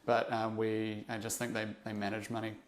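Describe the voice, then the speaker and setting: A young man from New Zealand with a New Zealand accent, a podcast guest talking in a reflective, halting way with many fillers and restarts. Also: lowish voice, monotone, a little hiss or hum. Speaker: interview guest, investor. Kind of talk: casual podcast interview.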